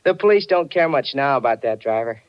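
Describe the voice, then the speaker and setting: An actor playing a chatty, sheepish garage attendant with a hesitant, rambling manner in a 1940s radio mystery. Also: male voice, medium pitch, animated, fairly quick, lightly acted. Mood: gossipy. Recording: slightly muffled old radio recording.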